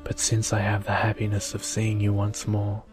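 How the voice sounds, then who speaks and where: A man voicing a devoted, suffering Beast in a gentle, resigned ASMR storybook reading. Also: on the low side, monotone, moderate pace, music in the background, close to an everyday voice, low-quality recording. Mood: sorrowful, resigned. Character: devoted, suffering male Beast from a fairy tale.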